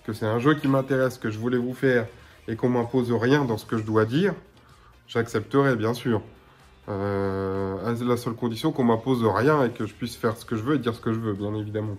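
A man in his thirties with a blocked nose and slightly hoarse voice, chatting casually to his webcam at home as a gaming YouTuber, rambling with lots of filler sounds. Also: medium pitch, a little mellow. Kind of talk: casual to-camera chat. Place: small room at home.

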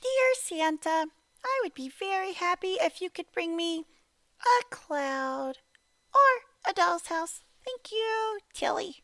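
A woman's voice: very high-pitched, animated, takes it slowly, sharp tone, no echo, voice pushed up, exaggerated voice. A woman voicing an earnest, polite little girl reading out her wishes to Santa in a storybook. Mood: polite, hopeful. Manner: sweet, polite, careful wishing.

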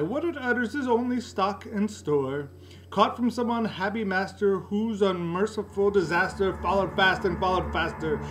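Man, dramatic gothic poetry recitation, theatrical delivery, slightly high-pitched, humming room noise. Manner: theatrical, swinging from hushed murmurs to loud cries. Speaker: poetry reader, library director. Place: indoor room by a curtained window. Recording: noisy room recording with steady hum.

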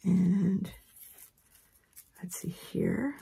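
A woman with a hoarse voice from a head cold, giving a relaxed, chatty craft tutorial at her work table. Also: lowish voice, extremely slow. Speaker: craft instructor. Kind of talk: casual step-by-step tutorial.

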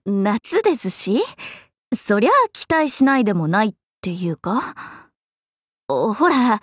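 A woman voicing a playful, cheerful young swordswoman in an anime mobile game, breezy, expressive and teasing like a big sister. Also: on the high side, breathy edge, no echo, studio quality, heavily acted. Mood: flustered. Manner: breezy, expressive, teasing, casual with sudden formal turns.

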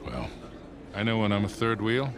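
A man playing a gruff, wry older American con man and mentor in a video game cutscene, grumbling in a folksy way. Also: lowish voice, very animated, normal speed, noisy background. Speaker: game character voice actor. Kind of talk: video game cinematic acting. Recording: loud background music.

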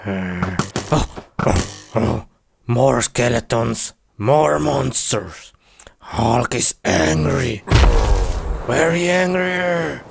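A man voicing a hulking, angry brute who grunts and speaks in simple, broken phrases for an animated block-game cartoon. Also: medium pitch, drawn-out words, background noise, full character voice. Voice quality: gravelly grunting.

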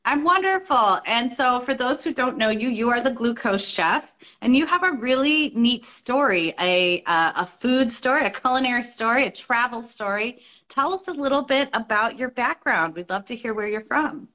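A woman's voice: on the high side, expressive, moderate pace. A woman in her thirties hosting a live stream from home on a phone, speaking in an enthusiastic, heartfelt way about love and nourishment. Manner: warm-hearted, spiritual, enthusiastic. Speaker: host. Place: indoors, home room. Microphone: phone camera, video call.

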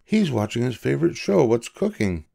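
An older man voicing a kind, patient wife who speaks gently and reassuringly. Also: medium pitch, very animated, normal speed, gravelly, voice pushed up. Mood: gentle. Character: kind, patient middle-aged wife, woman.

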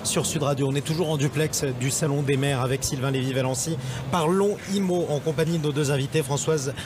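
A man presenting a radio show, delivering a smooth scripted link to the break. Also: fairly high pitch, steady tone, fairly quick, background noise.

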